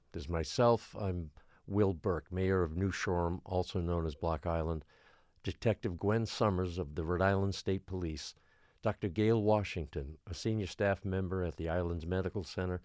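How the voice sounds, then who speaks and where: A man playing an exasperated, forceful small-town mayor in an audio drama, speaking bluntly and officially. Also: low voice, no echo, clean studio sound, close to an everyday voice. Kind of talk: dramatic podcast acting.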